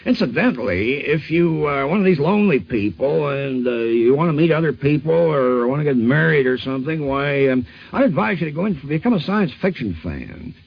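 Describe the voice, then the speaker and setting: Higher, raspy, creaky man, dryly humorous. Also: big pitch swings, normal speed, hardly any pauses. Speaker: expert interviewee. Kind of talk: unscripted 1950s radio interview. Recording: muffled 1950s radio recording.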